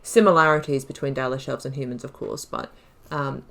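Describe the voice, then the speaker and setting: Low voiced gamer woman doing a let's play, chatty, thinking out loud, casual. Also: fairly even intonation, brisk, vocal fry. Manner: chatty, musing, thinking aloud. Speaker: gaming YouTuber.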